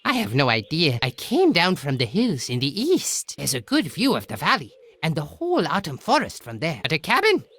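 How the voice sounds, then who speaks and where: A performer voicing an excitable, self-important little male messenger creature in a video game, with a dramatic manner. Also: medium pitch, normal speed, few pauses, husky, heavily acted. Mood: explaining.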